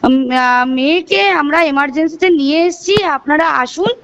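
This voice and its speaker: A woman's voice: sits high, fairly quick, fairly bright tone. A woman with a Bengali accent giving urgent notice on a recorded phone call as a hospital official, repeating herself and hesitating. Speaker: hospital assistant superintendent calling a relative.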